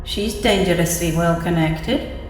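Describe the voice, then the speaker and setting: A woman playing a brisk, wary space bounty hunter in an audio drama. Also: lowish voice, faint music behind, lightly acted. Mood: inward musing. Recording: echoey room with long reverb.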